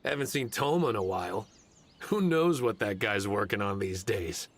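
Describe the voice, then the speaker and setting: An actor playing a stern, disdainful samurai guard in a video game, gruff and pointed in his delivery. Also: lowish voice, normal speed. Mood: scornful grumbling. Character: stern, disdainful male samurai guard, sarcastic and old-fashioned.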